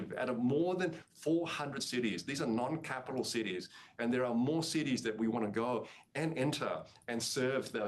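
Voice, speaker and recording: A man speaking as a company executive, answering on an earnings call with emphatic, repeated and restarted phrases. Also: medium pitch.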